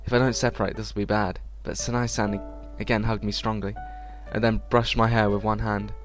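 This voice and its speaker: Man, lowish pitch, reading visual novel text aloud, casual asides, clear background music. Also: level tone, a little fast. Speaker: let's play host. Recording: game music under the voice.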